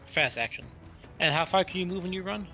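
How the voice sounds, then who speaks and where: A man's voice: high-pitched, brisk, sharp tone, dull audio. A man talking casually as a player in a tabletop roleplaying game, blunt and assertive. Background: music.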